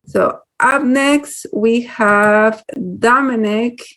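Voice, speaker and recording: A woman's voice: a little high, fairly even intonation, drawn-out words, a bit bright. A woman hosting a comedy open mic, introducing acts and making announcements.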